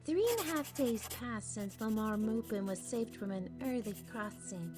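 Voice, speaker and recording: A woman with a fairly high voice tells a fantasy tale in storybook narration. She pauses now and then, chatty, to puzzle over a name. Music plays clearly behind her.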